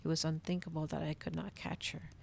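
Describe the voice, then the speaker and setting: Low, creaky woman reading softly in ASMR. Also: flat delivery, quick pace, a little hiss or hum. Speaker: streamer reading a novel aloud. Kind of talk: ASMR audiobook-style reading.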